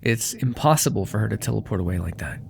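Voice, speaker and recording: A man's voice: on the low side, animated, fast talker, gravelly, background noise. A man voicing a vain, menacing demon crime lord in an ASMR audio roleplay, silky, theatrical and condescending, with cool threats under mock affection. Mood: calm, calculating.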